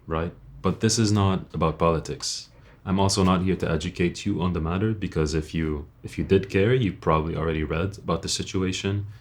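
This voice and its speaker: A young man from Lebanon with a very low-pitched voice delivers an earnest monologue straight to his audience. He talks bluntly and informally, as an online creator would, and leans on rhetorical questions. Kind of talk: earnest personal monologue to camera.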